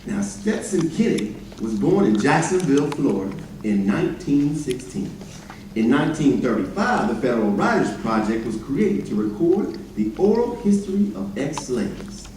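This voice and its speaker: A man giving a formal, measured scripted narration on stage, recorded from a distance in a very echoey chapel. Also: on the high side, big pitch swings, a little mellow. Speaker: readers theater narrator and singer. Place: large echoey indoor chapel, live performance. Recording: very echoey hall, distant mic, faint noise.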